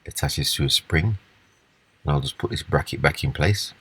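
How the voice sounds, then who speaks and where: Very deep-voiced husky man. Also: moderate pace, fairly bright tone. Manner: unscripted, step-by-step explaining. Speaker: repair demonstrator. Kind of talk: casual hands-on repair walkthrough.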